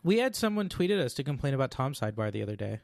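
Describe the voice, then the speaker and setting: A man's voice: mid-range voice, sing-song, very fast, vocal fry, no room sound. A man co-hosting a podcast in casual chat, teasing the others with dry humor. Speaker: podcast co-host.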